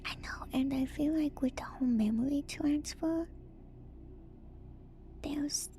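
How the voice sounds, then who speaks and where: A woman streamer whispering softly and breathily in ASMR style, reading aloud and musing in casual asides close to the microphone. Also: sits high, slight background noise. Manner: slow, musing, conversational asides. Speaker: streamer hosting a lore read-through. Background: music.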